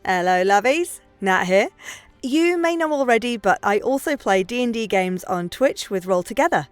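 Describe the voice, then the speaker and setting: A woman's voice: medium pitch, expressive, moderate pace, no echo. A woman giving a scripted promotional announcement in a chummy way, as a game streamer speaking to her listeners. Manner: chummy, addressing listeners as friends. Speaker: game streamer and dungeon master. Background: music.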